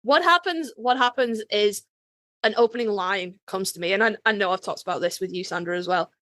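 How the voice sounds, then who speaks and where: A woman's voice: medium pitch, a little fast, a bit bright, no echo. A woman co-hosting a podcast in casual, candid chat, wry and sweary, acting out little dialogues with herself. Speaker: podcast co-host.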